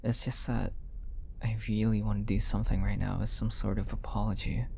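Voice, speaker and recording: A man playing an adorkable, oblivious, apologetic husband in an intimate roleplay audio, speaking softly and hesitantly, often half-whispering. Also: on the low side, flat delivery, brisk, slight background noise. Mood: apologetic, self-blaming. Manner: soft, hesitant, self-deprecating, often breathy and half-whispered.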